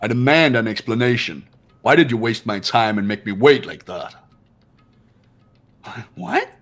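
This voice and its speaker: A man's voice: medium pitch, expressive, a little slow, a bit bright, soft background music. A man voicing a mischievous, menacing robotic bear mascot in a theatrical fan-dubbed game. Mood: mock indignant. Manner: theatrical, mock-indignant. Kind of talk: fan-dubbed visual novel game acting.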